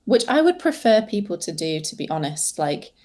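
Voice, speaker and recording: Mellow-voiced English woman chatting. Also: medium pitch, sing-song, moderate pace. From England. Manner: chatty, hesitant, many fillers and restarts. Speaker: guest, social media manager. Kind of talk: casual podcast interview.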